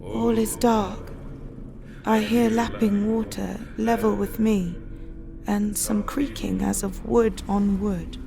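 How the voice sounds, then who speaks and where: A woman playing a gentle Victorian woman speaking dreamily under hypnosis in an audio drama. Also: medium pitch, little pitch movement, gravelly, over music. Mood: dreamy, entranced. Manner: slow, dreamy, trance-like delivery.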